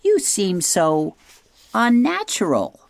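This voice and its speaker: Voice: medium pitch, huge pitch swings, takes it slowly, gravelly, no room sound, pitched above the performer's own voice, heavily acted. A reader voicing an alarmed, distrustful owl from a storybook, screechy and indignant.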